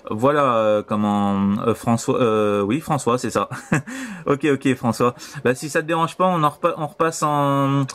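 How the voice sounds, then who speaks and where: A man's voice: mid-range voice, a little slow, fairly bright tone. A man from France with a French accent, chatting casually and repetitively as an amateur radio operator over a transceiver. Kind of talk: casual radio contact chat. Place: voice over CB shortwave radio link.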